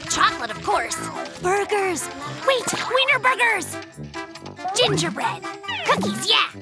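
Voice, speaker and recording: An actor voicing an excitable, boastful young polar bear cub in a children's cartoon, with exclamatory, dramatic delivery. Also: extremely high pitch, full character voice. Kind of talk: animated children's cartoon dialogue. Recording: children's cartoon with music bed.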